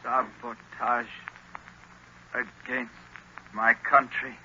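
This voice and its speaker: An actor playing a distraught young lieutenant held prisoner under a spell, halting and anguished, in old radio drama style. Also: male voice, medium pitch, level tone, bright, forceful tone, heavily acted. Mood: dazed, halting. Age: young adult. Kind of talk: 1940s radio drama acting. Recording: noisy, muffled old radio recording.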